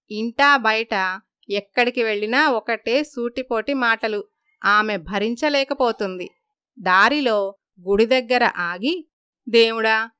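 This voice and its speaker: A woman's voice: a little high, sharp tone, clear, resonant tone, dry recording, clean studio sound. A woman narrating a scripted story as storyteller.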